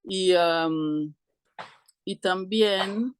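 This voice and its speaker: A middle-aged woman explaining things conversationally as an interview guest, halting and often clearing her throat. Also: fairly low pitch, a little hiss or hum. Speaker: interview guest, co-founder of an alternative school.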